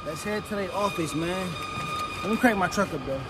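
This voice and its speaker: An American man in his thirties telling a story in a casual vlog, animated and slangy, repeating phrases and tag questions. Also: sits high, fairly quick. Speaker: flatbed trucker and vlogger. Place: truck cab with open door, steel yards. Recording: loud vehicle and outdoor work-site noise.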